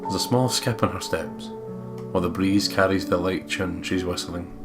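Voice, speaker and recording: A man with a low voice narrates and comments on a visual novel in a casual let's play style. Game music plays behind him. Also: moderate pace. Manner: casual and sweary. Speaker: let's play co-host reading aloud.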